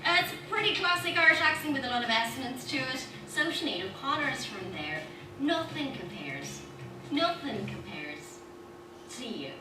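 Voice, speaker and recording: A woman's voice: high voice, moderate pace. A woman playfully demonstrating accents in a video, jumping between voices. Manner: animated, jokey, imitating accents. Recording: echoey video playback from far off with noise.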